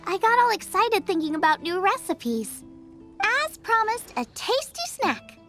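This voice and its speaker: A woman's voice: very high-pitched, very animated, unhurried, sharp tone, soft background music, heavily acted. A woman voicing a cheerful, food-obsessed young girl chef in a video game, with an eager, bubbly, girlish delivery. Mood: enthusiastic. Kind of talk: video game character dialogue.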